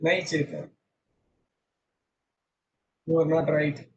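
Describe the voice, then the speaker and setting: A man's voice: a little high, flat delivery, slow, a little mellow. A middle-aged man teaching an online class over a video call, quizzing students and repeating himself, mixing English with Hindi. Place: small room indoors. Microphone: laptop webcam on a video call.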